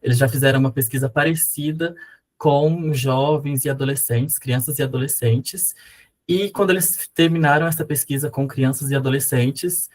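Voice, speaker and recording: A young man from Brazil, a university student, presenting research over a video call in an explanatory way with some fillers. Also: a little high. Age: young adult. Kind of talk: conference presentation.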